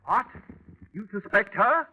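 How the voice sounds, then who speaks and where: Jovial English inspector, animated and hesitant, old-fashioned radio drama, tinny noisy recording. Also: male voice, sits high, slow pace, heavily acted. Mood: surprised, thoughtful. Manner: hemming and hawing, old-fashioned British phrasing. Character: jovial, hemming English police inspector, male. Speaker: police inspector. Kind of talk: 1940s radio drama acting.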